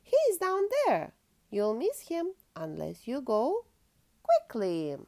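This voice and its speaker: Helpful storybook animal, voiced by a woman, questioning and advising, with wide pitch swings. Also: huge pitch swings, takes it slowly, creaky, darkish tone, full character voice. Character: helpful Arctic animals advising a lost bear cub. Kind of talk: expressive picture-book read-aloud.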